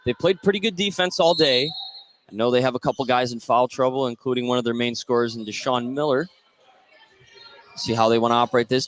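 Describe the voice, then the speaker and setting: A man calling a basketball game live as the play-by-play announcer on a radio broadcast. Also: mid-range voice. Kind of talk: live sports radio commentary.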